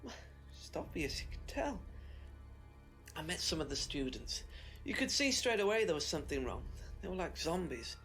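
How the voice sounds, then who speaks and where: An agitated male conspiracy theorist with a high voice. He is smug and emphatic, a know-it-all in a video game mystery. Faint music plays behind him. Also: big pitch swings, normal speed, fairly bright tone. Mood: defensive, insistent. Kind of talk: FMV mystery game acting.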